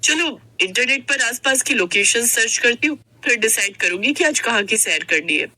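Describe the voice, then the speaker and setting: A woman's voice: a little high, animated, moderate pace, bright, forceful tone, no room sound, heavily acted. A woman voicing a young newlywed wife in a story, hurt and polite but turning defiant, speaking with dramatic expression. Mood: musing.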